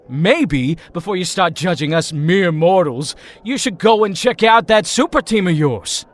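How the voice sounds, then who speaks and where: A man's voice: high-pitched, bright, forceful tone, exaggerated voice. A man playing a drunk, bitter and jealous young ex-hero in an audio drama, with taunting, resentful outbursts.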